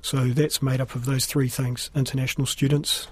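A man with a New Zealand accent speaks in a measured, explanatory interview style. His voice is slightly husky and stays in a narrow pitch range. The recording is close and dry. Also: level tone, dry recording. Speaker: school principal being interviewed.

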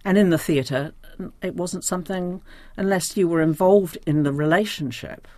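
A woman's voice: fairly low pitch, moderate pace, darkish tone, no room sound. An older woman with a New Zealand accent speaking reflectively as an interview guest, thoughtful and often searching for her words. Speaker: interview guest, diary editor.

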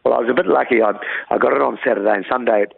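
Croaky New Zealand man on phone. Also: medium pitch, rapid-fire, no echo. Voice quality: croaky, gravelly voice. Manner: earnest, rambling, repeats and restarts words. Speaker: town mayor interviewed by phone. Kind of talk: phone interview. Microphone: phone line. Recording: tinny phone line, dry.

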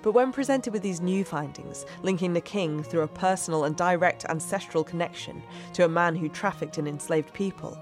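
Woman reporter narrating over soft music. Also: lowish voice, normal speed, hardly any pauses. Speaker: reporter and podcast host. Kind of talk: scripted narration.

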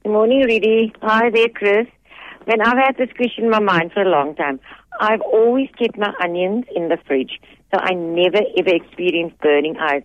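A woman calling into a radio show, asking her question in a chatty, slightly halting way. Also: medium pitch, no echo. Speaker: caller. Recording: dull phone line.

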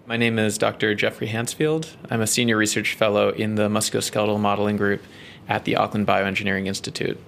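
Gravelly low-voiced man explaining research. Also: fairly even intonation, fairly bright tone, slight background noise. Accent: New Zealand. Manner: measured explaining with many ums and uhs. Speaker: research scientist.

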